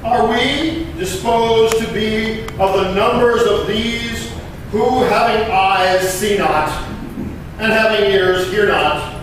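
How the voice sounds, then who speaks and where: A man playing an impassioned eighteenth-century American patriot statesman, declaiming a speech with emphatic, deliberate pauses in a dramatic reading. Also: high voice. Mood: solemn, resolute. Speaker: living historian performer. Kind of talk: dramatic historical oratory reading. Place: historic courthouse room. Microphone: distant microphone. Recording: very echoey room, distant mic, noisy.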